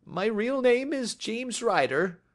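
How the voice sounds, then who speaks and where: Jittery cringing little thief for a storybook, way high thin voice, cracks a lot. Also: male voice, animated, takes it slowly, full character voice. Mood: reluctant, nervous. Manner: agitated, deferential. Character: small nervous frightened male thief, cringing and excitable.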